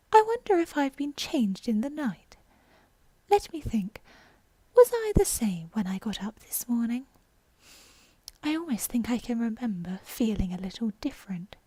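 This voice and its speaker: A woman's voice: on the high side, mellow, slightly rough, no echo, full character voice. A woman voicing a curious, dreamy young girl from a storybook, talking to herself in exclamations and musings. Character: curious, dreamy, self-scolding young girl, prone to chatter.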